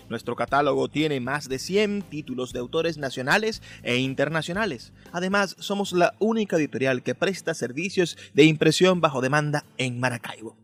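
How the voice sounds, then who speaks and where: A man's voice: on the high side, lively intonation, normal speed, few pauses, a bit bright. A man presenting on radio in a scripted announcer style. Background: music.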